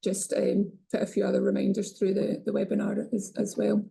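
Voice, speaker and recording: A woman hosting a webinar, measured and thinking aloud with small restarts as she speaks. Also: medium pitch, completely flat delivery, creaky, mellow, slightly rough. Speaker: webinar host.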